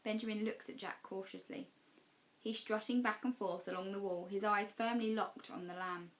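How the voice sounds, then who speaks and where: A woman's voice: medium pitch, fairly even intonation, moderate pace, poor audio. Woman reading a children's story aloud. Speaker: teacher reading class storytime. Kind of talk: expressive children's storybook narration.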